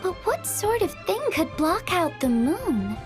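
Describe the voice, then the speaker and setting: A young country girl in a fantasy game, high-voiced and unhurried. She speaks mildly and haltingly over prominent music. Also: big pitch swings, full character voice. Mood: amazed. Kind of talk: video game character voice acting.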